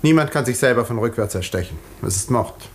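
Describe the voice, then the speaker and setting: An actor playing an educated country doctor, clipped and insistent, in a 1950s German radio play. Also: male voice, medium pitch, animated, a little fast, close to an everyday voice. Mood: grave, clipped. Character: urgent, educated male English country doctor. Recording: roomy, hissy 1950s radio recording.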